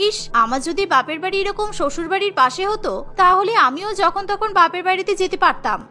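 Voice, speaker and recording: A woman voicing a sharp-tongued, complaining young daughter-in-law in a cartoon, grumbling and sarcastic. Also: very high-pitched, lively intonation, fast, faint music behind, exaggerated voice.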